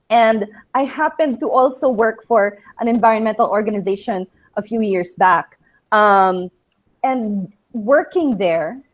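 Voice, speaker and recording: A Filipino woman in her thirties chats easily about her work. Her voice sits a little high, and she talks in loose runs broken by fillers and restarts. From Philippines. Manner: chatty, many fillers and restarts. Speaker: children's book author. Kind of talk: casual interview chat.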